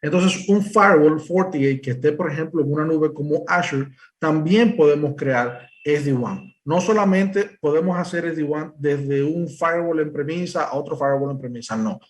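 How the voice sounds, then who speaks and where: A man's voice: a little high, moderate pace. A young man with a Dominican Spanish accent giving a technical product presentation, explaining step by step with many fillers and restarts. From Dominican Republic. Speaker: presales engineer presenting.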